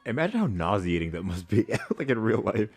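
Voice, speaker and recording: A man's voice: on the low side, big pitch swings, brisk. A man giving casual, wry commentary as the host of a movie reaction video.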